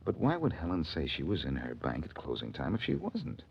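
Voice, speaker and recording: An actor playing a smooth, confident wisecracking detective in a 1940s radio drama. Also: male voice, rumbling low, wildly swooping pitch, brisk, heavily acted. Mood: musing. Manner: dry, wisecracking. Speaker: lead detective. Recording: dull 1940s radio recording, faint hiss.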